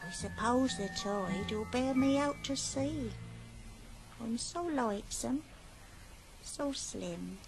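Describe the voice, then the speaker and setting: A wretched young woman set on leaping into the river, speaking slowly with a lively, swinging pitch. Orchestral music runs clearly underneath. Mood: forlorn. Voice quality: defiant shouting. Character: despondent young woman threatening to jump. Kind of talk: musical film acting.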